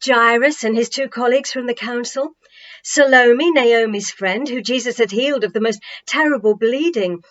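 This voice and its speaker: A woman reading a children's novel aloud as an expressive storybook narration, with dramatic, emphatic phrasing and deliberate pauses. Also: on the high side, muffled recording.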